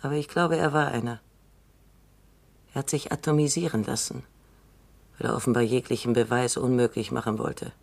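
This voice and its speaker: An actress playing Doctor Calvin, a shrewd, dry and assured elderly woman robot psychologist, speaking in a measured, knowing way in a radio drama. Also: a bass voice, steady tone, creaky, darkish tone, heavily acted. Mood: wry.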